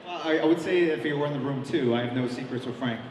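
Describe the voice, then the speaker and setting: A middle-aged comic artist answering interview questions at a convention, with many ums and restarts. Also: male voice, a little high, fast talker. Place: large convention hall. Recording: echoey hall, mic at a distance, crowd noise.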